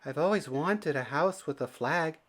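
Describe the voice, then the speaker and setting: A man's voice: on the high side, expressive, moderate pace, fairly bright tone, pitched above the performer's own voice. A man voicing a fussy, decisive female bird, the wife in a children's storybook, speaking emphatically. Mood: pleased.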